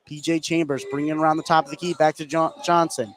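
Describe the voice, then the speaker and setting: A man's voice: a little high, little pitch movement, fast. A man doing live play-by-play basketball commentary as a radio announcer. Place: indoor gymnasium, courtside. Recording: clear background noise from a gym.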